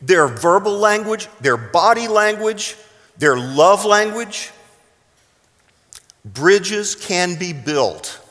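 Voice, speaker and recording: American preacher, animated, in an echoing hall. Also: male voice, high-pitched. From South Texas, United States. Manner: deliberate, with dramatic emphasis and pauses. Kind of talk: sermon. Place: large reverberant room, speaking to a congregation. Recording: echoing hall with long reverb.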